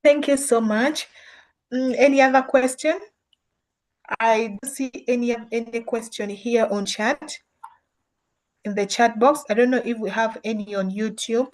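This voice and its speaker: A woman moderating an online session, who thanks the speaker and checks the chat for questions in a brisk, polite way. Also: a little high, steady tone, a little mellow, poor audio.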